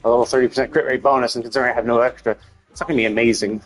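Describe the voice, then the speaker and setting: A man gives casual live commentary on a game stream, thinking out loud in a halting way. His voice sits at an average pitch for a man. Music plays behind him as he talks. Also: steady tone.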